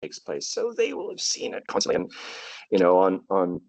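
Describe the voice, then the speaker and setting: A man's voice: low voice, huge pitch swings, moderate pace, a little mellow, no echo. A middle-aged man with a Canadian accent, telling stories as a guest on a casual podcast and often repeating a word as he starts. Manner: thoughtful, storytelling, repeats words at starts. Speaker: guest, tour guide.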